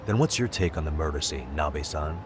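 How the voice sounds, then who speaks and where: An actor playing a serious, pushy, determined detective in an English video game dub. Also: male voice, a bass voice, expressive, a little fast, background noise. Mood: urgent. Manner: serious, pressing. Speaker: private detective character.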